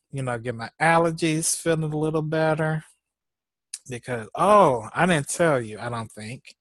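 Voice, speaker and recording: Slow, laid-back congested man. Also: medium pitch, fairly bright tone, dry recording. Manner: laid-back, rambling, laughs often; slow, drawn-out talk. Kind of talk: casual chat.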